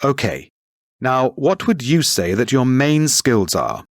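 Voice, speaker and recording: A brisk, businesslike interviewer. His pitch moves a lot as he asks each question. The sound is dry and close. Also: sing-song, no room sound. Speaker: interviewer filling in a form. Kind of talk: scripted textbook listening dialogue.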